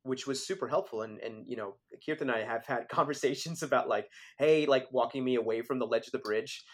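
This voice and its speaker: A young man in mid-range pitch, talking through his experience as a filmmaker in a relaxed interview. He keeps it conversational, sprinkling "like" and "you know" as he thinks aloud. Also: brisk. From Philadelphia area, born in Switzerland. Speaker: filmmaker guest. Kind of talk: casual interview talk.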